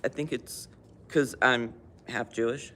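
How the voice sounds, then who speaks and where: An older woman hosting a casual livestream chat in a library, chatty and self-mocking, quick to laugh at herself. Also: very deep voice, fairly even intonation, a bit bright, a little hiss or hum. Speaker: livestream host. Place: public library.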